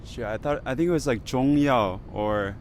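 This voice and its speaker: A man's voice: mid-range voice, normal speed, slight background noise. A young man, a pharmacy student, chatting casually on a panel with lots of hesitant fillers. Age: young adult.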